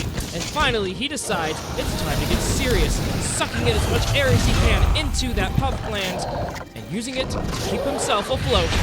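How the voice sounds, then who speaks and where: A man delivers dramatic game commentary in the manner of a wildlife documentary, with formal, storylike phrasing. His voice sits high for a man and moves in lively, sweeping intonation. Loud music plays behind him throughout. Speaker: game streamer narrating own hunt. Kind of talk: scripted-sounding nature documentary style game commentary. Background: game music under the voice.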